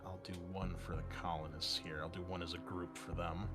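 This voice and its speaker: A man's voice: sits low, little pitch movement, brisk. A man running a tabletop roleplaying game as game master, mixing improvised narration with conversational explanations to his players. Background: music under the voice.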